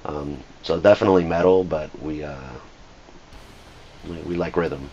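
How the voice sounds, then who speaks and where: Low-voiced Argentine-born guitarist man. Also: fairly even intonation, normal speed, darkish tone, muffled recording, slight background noise. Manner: thoughtful, restarting phrases. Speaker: band guitarist. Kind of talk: casual interview talk. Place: music studio, indoors.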